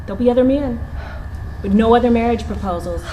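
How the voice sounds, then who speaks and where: High-voiced, frank woman friend played by an actress. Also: quick pace, warm tone, slight background noise, natural voice, rough recording. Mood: firm. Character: frank woman friend pushing her toward marriage. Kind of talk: live stage play acting. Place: theatre stage.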